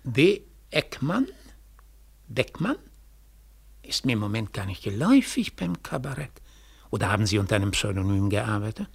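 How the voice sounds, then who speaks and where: A man's voice: fairly low pitch, sing-song, normal speed, creaky, long pauses, husky, heavily acted. A man playing a self-important, patronizing cabaret director in a 1940s German radio drama, theatrical and condescending.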